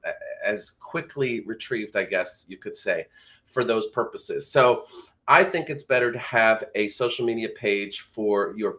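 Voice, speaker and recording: A man's voice: mid-range voice, a bit bright. Man giving an informal voice-over lesson. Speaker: voice-over instructor. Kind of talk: informal instructional talk.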